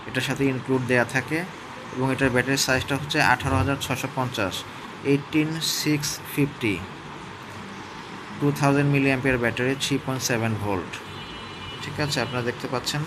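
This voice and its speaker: Over clear background noise, a man presents a product review. He talks casually and explains things hands-on, pausing to check that viewers are following. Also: medium pitch. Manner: explanatory, often checks in with listeners.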